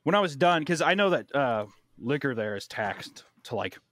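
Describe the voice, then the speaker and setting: An American man with a mid-range voice tells a rambling, profane story in casual podcast chat. He jumps into higher tones to act out quotes. The recording is dry and close, with little room sound. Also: normal speed, no echo. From United States. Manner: animated storyteller, swears often, acts out quotes in a high voice.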